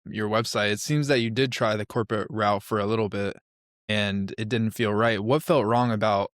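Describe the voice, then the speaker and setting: A man's voice: on the low side, fairly even intonation, normal speed, no room sound, studio quality. A man hosting a podcast interview in a casual, conversational way. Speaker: podcast host.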